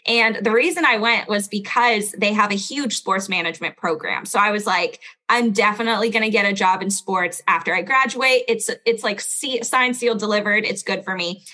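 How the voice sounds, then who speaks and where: A woman's voice: mid-range voice, pushed and bright. A young woman born in Belgium with a standard American accent, telling her story as a guest in a casual interview, chatty, with lots of "like". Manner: chatty, lots of "like" and quick laughs.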